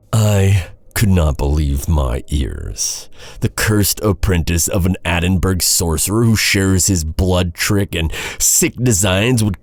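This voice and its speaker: A man playing a bitter, vengeful knight in a dark fantasy audio roleplay, brooding and taunting in a husky, gravelly voice. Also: sits low, dry recording, exaggerated voice. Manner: taunting, brooding, dramatic with heavy sighs. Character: bitter vengeful male knight guarding a captive royal. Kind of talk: immersive dark fantasy ASMR roleplay acting.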